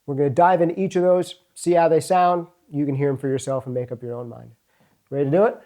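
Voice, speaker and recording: Mellow man hosting casually. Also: fairly high pitch, steady tone, fast talker. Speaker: interviewer and host. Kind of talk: casual gear-video presenting. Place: home studio.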